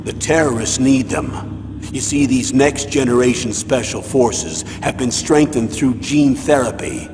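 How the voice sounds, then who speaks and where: An actor playing a stern older military commander, with a measured, grave, authoritative way of briefing in a video game. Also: male voice, medium pitch, background noise, close to an everyday voice. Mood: explanatory. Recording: long echoing reverb, music underneath.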